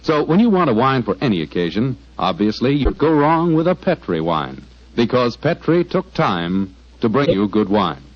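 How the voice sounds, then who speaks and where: A dull, band-limited 1940s radio recording of an American male announcer. He has a mid-pitched voice with animated swings, polished and folksy like a practiced sponsor pitchman. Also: normal speed.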